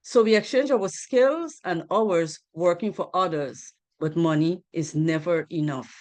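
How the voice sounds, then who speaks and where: A woman's voice: lowish voice, measured pace, a little mellow. A woman from Guyana giving a financial literacy presentation on a group video call, earnest, asking rhetorical questions and repeating key points.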